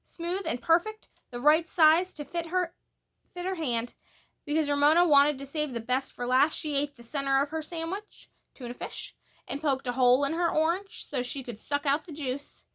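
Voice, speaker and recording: A woman narrates a children's story with a very bright, forward voice pitched on the high side. Her reading is expressive and animated, putting emphasis on key words as a storyteller would. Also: normal speed.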